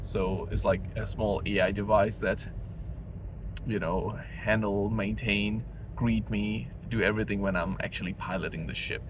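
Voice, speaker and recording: A man's voice: on the low side, fairly even intonation, moderate pace. A man vlogging off the cuff from his car at night, rambling with many fillers. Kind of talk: unscripted casual vlog while driving. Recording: clear car noise underneath. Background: car engine and road noise.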